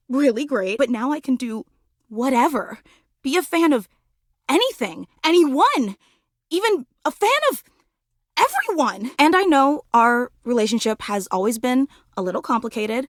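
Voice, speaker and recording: A bubbly, devoted superfan woman from a video game. Her voice is high and wildly animated, with a dramatic, slangy cheerleader's gush. The sound is close and dry. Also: no echo. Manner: excitable, dramatic, slangy gushing. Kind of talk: video game character voice acting.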